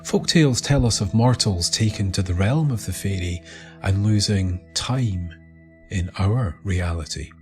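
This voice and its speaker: Male narrator, Scottish. Scripted mysterious storytelling, lowish pitch, unhurried pace, pauses for effect. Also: a little mellow. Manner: measured, deliberate, with dramatic pauses. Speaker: writer and narrator of the show. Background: music bed.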